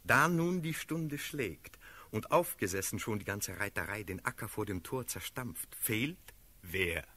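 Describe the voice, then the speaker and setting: An actor playing a brisk, sardonic count and officer in a classical German radio drama, declamatory and emphatic. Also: male voice, fairly low pitch, animated, pushed and bright, heavily acted. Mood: reporting, wry.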